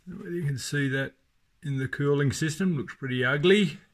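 A middle-aged man talking casually through a car restoration in his home garage, thinking aloud with many pauses and ums. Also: fairly high pitch. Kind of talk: casual workshop commentary. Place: home garage workshop, indoors.

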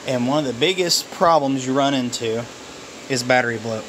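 A man giving a casual, unscripted walkthrough of his home office and phones, relaxed and halting, with plenty of fillers. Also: medium pitch, noisy background. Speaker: vlogger showing his phone farm. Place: cluttered home office full of phones and computers. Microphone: handheld camera mic, filming while walking around.

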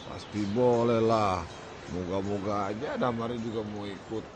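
A man's voice: on the low side, a little slow, close to an everyday voice. An actor playing an easygoing young man chatting with his childhood friend in a radio drama, using casual slang.

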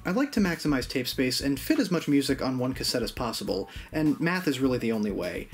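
A man in his thirties hosting an explainer video in a playful, animated way with casual asides. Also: medium pitch, fast talker, soft background music. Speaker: video host. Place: small home room with hi-fi gear, indoors.

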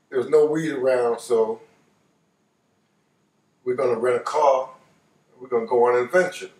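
A man in his late fifties with a New York accent, telling a personal story casually in an animated way, repeating phrases for emphasis. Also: medium pitch, unhurried, pauses often. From New York, United States. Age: late 50s. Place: living room, seated on a couch. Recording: roomy, voice distant from microphone.

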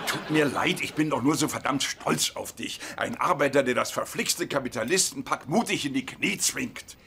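A man's voice: medium pitch, normal speed, vocal fry, sharp tone, slight background noise. An actor playing a quirky older father-in-law in a dubbed sitcom, speaking in a grandiloquent, theatrical way. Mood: gushing admiration. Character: quirky old father-in-law, gushing and indignant.

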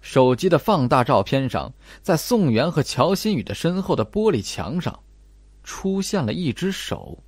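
A man's voice: on the high side, sing-song, normal speed, husky, no echo. A man narrating a mystery audiobook in a dramatic, scripted style.

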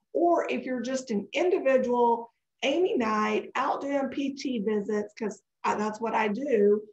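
A woman's voice: on the high side, a little mellow. Woman, scratchy and congested, chatty and digressive, live webinar, echoey home office, muffled webcam audio. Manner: chatty and digressive, answering questions. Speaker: webinar presenter. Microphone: webcam video call. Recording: dull echoey video-call audio.

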